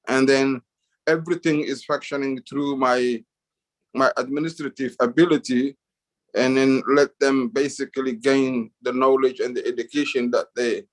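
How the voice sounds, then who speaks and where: A man from Somalia speaking as a guest on a group video call, halting, with many fillers and restarts. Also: mid-range voice, steady tone, rough recording.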